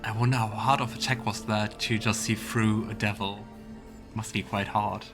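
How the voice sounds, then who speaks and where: A man's voice: on the low side, level tone, moderate pace, over music. A man hosting a let's play, musing aloud in casual game commentary.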